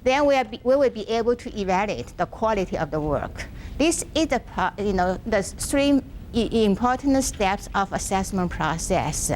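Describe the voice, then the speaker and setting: A soft-spoken woman presenting a university workshop, speaking haltingly with restarts, seated in a classroom without a microphone. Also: medium pitch, expressive, a little hiss or hum. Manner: halting, frequent restarts and fillers. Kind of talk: academic workshop lecture. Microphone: no microphone, room sound.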